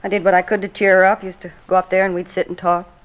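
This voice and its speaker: An actress playing a blunt, defensive, gossipy apartment manager with an American accent in 1950s radio drama style. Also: female voice, on the low side, little pitch movement, fast talker, highs cut off, close to an everyday voice. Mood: confiding. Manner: blunt, gossipy, folksy clipped grammar. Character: brusque, defensive, talkative apartment manager woman with children. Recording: vintage 1950s radio recording.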